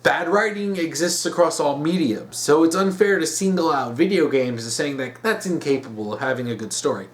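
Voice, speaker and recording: A man's voice: fairly high pitch, fairly quick, few pauses. Blunt, emphatic man giving opinionated commentary. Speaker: host of an opinion series.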